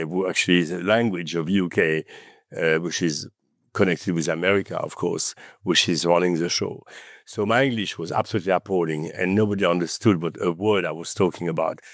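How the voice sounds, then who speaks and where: A man with a French accent, French his first language, talking as a podcast guest in an animated storytelling manner, often adding "okay?" and "you know". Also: low voice, no room sound, clean studio sound. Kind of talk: conversational interview.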